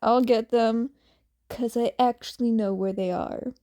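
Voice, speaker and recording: A woman's voice: on the high side, fairly even intonation, measured pace, mellow, close to an everyday voice. A woman playing a whiny, eager, playful young boyfriend in a story, pleading and emphatic. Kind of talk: acted storybook dialogue.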